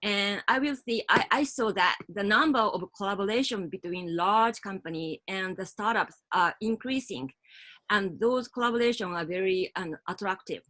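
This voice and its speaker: A woman whose first language is Japanese, a startup co-founder speaking on an online panel in careful, halting English. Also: mid-range voice, a bit bright. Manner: careful non-native English with pauses.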